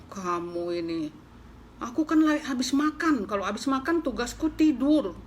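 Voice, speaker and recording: A drowsy, lazy pig from a storybook grumbles off a nuisance, as a woman puts him on. The tone is dismissive and sulky, pitched somewhat high. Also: lively intonation, moderate pace, a little hiss or hum, natural voice. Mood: grumpy sleepy.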